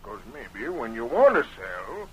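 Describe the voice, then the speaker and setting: An American actor playing a smug, greedy Old West neighbor in 1930s radio drama style, with rustic frontier speech. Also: male voice, medium pitch, big pitch swings, a little slow. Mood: gloating. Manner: rustic Western drawl wording, smug and unyielding. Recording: noisy 1930s radio recording.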